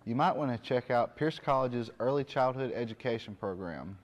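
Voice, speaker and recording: A man's voice: medium pitch, animated, moderate pace, muffled recording. A man co-hosting a college TV program with scripted presenting. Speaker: co-host of college TV show.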